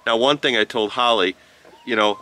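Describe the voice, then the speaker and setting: A man giving a casual, unscripted product review, explaining step by step in a halting, informal way. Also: mid-range voice, bright, forceful tone. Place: outdoors on a rural farm. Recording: noisy outdoor farm ambience with chickens. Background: clucking chickens, outdoor ambience.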